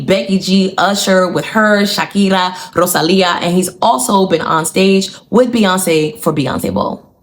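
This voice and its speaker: A young woman, a dancer explaining choreography in a casual, slangy scripted video with punchy lists. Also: fairly low pitch. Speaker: dancer and commentator.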